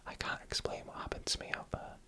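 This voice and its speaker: ASMR narrator, fully whispered, crisp bright hiss, breathy, deliberate phrasing, scripted storytelling.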